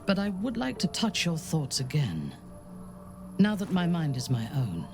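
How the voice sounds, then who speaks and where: Dark elf warrior noblewoman, proud, grave, measured, lively intonation, video game drama, music behind. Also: sits low, creaky, heavily acted. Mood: tender, candid. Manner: measured, deliberate, grave, formal phrasing. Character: proud, vengeful, long-lived dark elf noblewoman warrior, guarded yet intimate. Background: background music.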